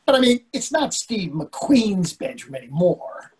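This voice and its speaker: A man's voice: high voice, big pitch swings, a little slow. An older man playing an irritable, impatient husband on vacation in a comedy play reading, curt and defensive. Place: indoors on a video call. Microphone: video call microphone.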